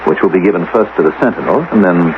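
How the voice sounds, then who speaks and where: An actor playing a cold, officious investigator in an old-time radio drama, formal, pointed and condescending. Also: male voice, deep voice, fast talker, close to an everyday voice. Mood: smug, commanding. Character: cold, officious male investigator toying with suspects. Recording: tinny, noisy, band-limited old radio recording.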